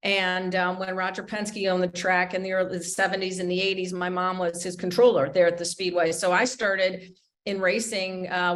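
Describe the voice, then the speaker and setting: Middle-aged woman, flat chatty recollection. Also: fairly low pitch. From Michigan, USA. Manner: chatty, rambling with fillers. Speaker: motorsports lawyer, panel guest. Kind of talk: panel interview, recounting career.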